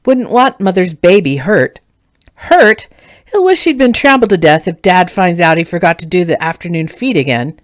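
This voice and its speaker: A woman acts out a quick-tempered farm boy from a fantasy storybook. He has a smart mouth and a gruff, mocking way of talking. The sound is dry. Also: on the low side, expressive, dry recording, lightly acted.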